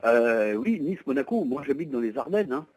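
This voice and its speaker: A man's voice: medium pitch, big pitch swings, moderate pace, darkish tone, no echo. A man explaining as a private seller on the phone, hesitant, wordy and polite. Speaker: private seller of a used van. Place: at home, on the phone. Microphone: telephone.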